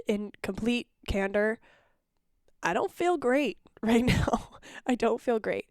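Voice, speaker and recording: A woman's voice: fairly high pitch, expressive, extremely slow, darkish tone, no echo, clean studio sound. A woman hosting her podcast in a casual, chatty solo monologue, sounding congested and phlegmy. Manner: chatty and candid, with restarts. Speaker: podcast host.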